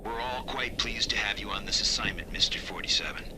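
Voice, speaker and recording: An actor playing an eager government agent in a video game, polite and ingratiating. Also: male voice, fairly low pitch, fairly even intonation, fairly quick, background noise, lightly acted. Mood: eager, earnest. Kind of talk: video game cutscene acting.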